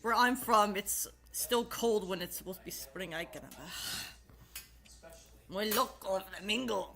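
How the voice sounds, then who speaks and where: A woman's voice: mid-range voice, takes it slowly, a bit bright. Giggly woman chatting on a call. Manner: giggly, rambling, swears freely. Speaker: channel host. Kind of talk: casual chat with friends.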